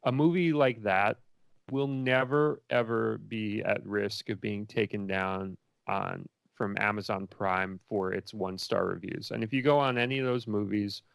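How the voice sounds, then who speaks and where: Man as indie filmmaker guest, lowish voice, dry close sound, loose and chatty interview answers that wander. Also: fairly even intonation, a little slow, gravelly, no echo. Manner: rambling and informal, many likes and you knows.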